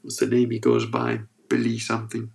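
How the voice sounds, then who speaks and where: A man's voice: on the low side, very animated, normal speed, gravelly, darkish tone. A man with a sore throat hosting a casual livestream, reading chat and joking as he rambles. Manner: rambling, joking, restarts often.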